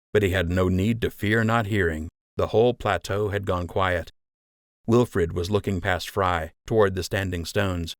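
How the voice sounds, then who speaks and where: A man's voice: sits low, no room sound, clean studio sound. A man reading a children's adventure audiobook as scripted storytelling narration.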